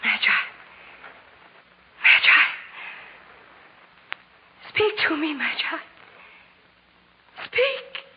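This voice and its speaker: A woman's voice: high-pitched, big pitch swings, extremely slow, pauses often, full character voice. An actress playing a terrified young captive girl, pleading and crying out in 1940s radio drama style. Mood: frantic. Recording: muffled 1940s radio recording, faint noise.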